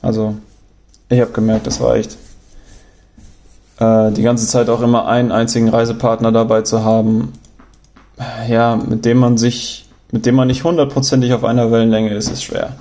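A young man from Germany talking on his own in a relaxed, rambling way, often pausing with fillers and restarting sentences. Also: medium pitch. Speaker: podcast host. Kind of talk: casual spoken monologue.

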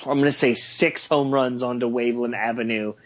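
A man's voice: mid-range voice, fairly even intonation, fairly quick, highs cut off, background noise. A man co-hosting a casual sports podcast, joking and making bold predictions with frequent stammered restarts. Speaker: podcast co-host.

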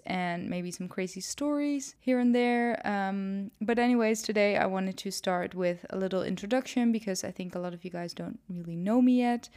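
A woman's voice: medium pitch, a little mellow, clean tone. A woman in her twenties from the Netherlands with a Dutch accent, hosting a casual solo podcast and talking in a chatty, rambling way with frequent ums. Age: 20s. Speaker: podcast host.

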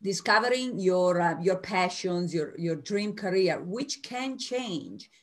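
Energetic Italian-accented woman coach. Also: fairly low pitch. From United States. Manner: lively, energetic, quick bursts with restarts. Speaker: career coach guest. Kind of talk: spontaneous interview answers.

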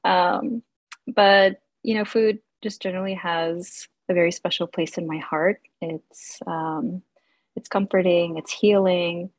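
Friendly young woman guest, lowish voice. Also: steady tone, measured pace, no echo. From United States. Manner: friendly, many ums and uhs, laughs. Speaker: guest, English instructor and food writer. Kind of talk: casual interview.

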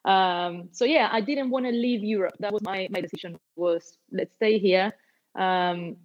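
A woman's voice: mid-range voice, unhurried. Argentine-born woman in her 40s, rambly chatty guest, kinda dull lo-fi sound. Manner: warm, rambling with many fillers and restarts. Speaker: guest musician and label owner. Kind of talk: casual interview chat.

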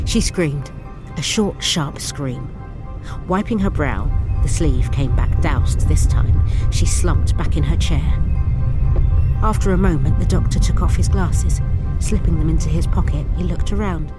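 A man's voice: a little high, a little slow, natural voice, poor audio. A man narrating a tense adventure story in a dramatic audiobook style. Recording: clear music under narration. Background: music.

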